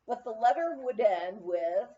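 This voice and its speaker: A woman narrates an anecdotal memoir in a fairly high, soft-toned voice. Her delivery is very lively and expressive, moving up and down as she tells the story. The recording is a little muffled and lo-fi. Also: measured pace. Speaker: audiobook reader.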